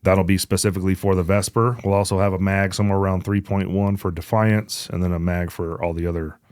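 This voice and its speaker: A man with a low voice hosts a casual gear podcast. He talks bluntly and jokes around with plenty of swearing. The sound is close and dry, with very little room in it. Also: flat delivery, quick pace, darkish tone, clear, resonant tone, no echo. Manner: profane, blunt, joking. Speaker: podcast host. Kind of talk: casual chat about hunting gear.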